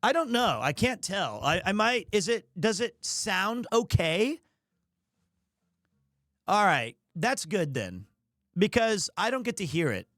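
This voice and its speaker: A man's voice: high-pitched, big pitch swings, takes it slowly, a bit bright, no room sound, studio quality. A man hosting a livestream, talking casually and jokingly. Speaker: livestream host. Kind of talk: casual stream chat.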